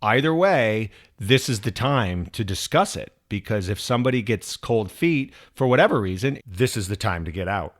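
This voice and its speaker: A man's voice: fairly low pitch, animated, normal speed, few pauses. A man co-hosting a conversational discussion, explaining things methodically and putting questions to his partner. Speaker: co-host. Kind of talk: conversational podcast-style discussion.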